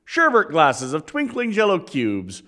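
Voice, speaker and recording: Man acting high-pitched, animated radio announcer. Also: a little slow, heavily acted. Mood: enthusiastic. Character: polished, folksy male sponsor's announcer selling dessert. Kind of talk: 1940s radio commercial delivery.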